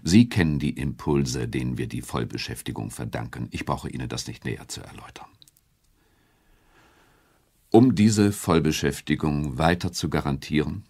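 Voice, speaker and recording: An actor playing a self-righteous head of government in a radio play, speaking in a pompous, oratorical manner. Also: male voice, very deep voice, normal speed, a little mellow, breathy edge, full character voice. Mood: solemn, insistent. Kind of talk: German radio play political address.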